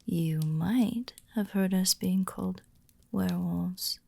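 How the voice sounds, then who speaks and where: A woman performs a calm female werewolf of the pack in an intimate audio roleplay. Her voice is lowish and very gravelly, often sinking to a breathy near whisper. She speaks slowly and gently, reassuring a newcomer with care. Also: no room sound, heavily acted. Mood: gentle, concerned. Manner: slow, gentle, reassuring, with deliberate pauses. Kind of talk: soft-spoken immersive ASMR-style audio roleplay.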